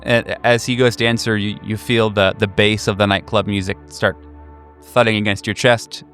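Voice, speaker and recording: A man improvising horror roleplay narration as game master, halting and pausing deliberately. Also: fairly low pitch, level tone, a bit bright, soft background music.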